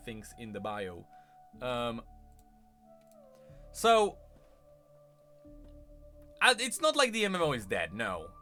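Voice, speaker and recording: A man's voice: on the high side, bright, forceful tone. A man giving casual live-stream commentary on gaming news, thinking aloud with rhetorical questions and emphatic repeats. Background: background music.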